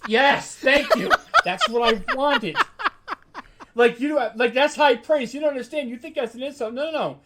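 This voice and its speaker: A man's voice: very high voice, normal speed, fairly bright tone, a little hiss or hum. Casual, candid man being interviewed. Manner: informal and candid, with frequent "like" and "you know". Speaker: interview guest, horror audio drama writer.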